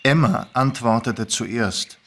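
A man's voice: medium pitch, takes it slowly, a bit bright. A man reading scripted story narration as part of a dramatized reading. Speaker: narrator and reader.

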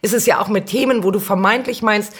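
A woman's voice: mid-range voice, quick pace, a bit bright, breathy edge. A woman, a guest in a casual reflective discussion, explaining earnestly and often correcting herself mid-sentence. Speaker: podcast guest, city councillor.

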